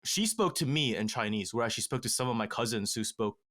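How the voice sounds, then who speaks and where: A man in his thirties telling a family story in casual podcast talk, measured and halting with frequent fillers, recorded on a studio mic in a small treated room. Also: mid-range voice, expressive, a bit bright. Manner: measured, many "um" fillers and restarts. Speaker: podcast guest. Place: small home studio with acoustic foam panels. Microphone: studio mic with headphones.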